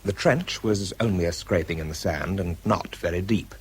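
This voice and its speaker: A man's voice: sits low, moderate pace, husky. An actor playing a nervous, understated bomb disposal man, narrating and talking in a radio drama. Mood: reflective, tense. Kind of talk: radio drama, first-person narration and dialogue.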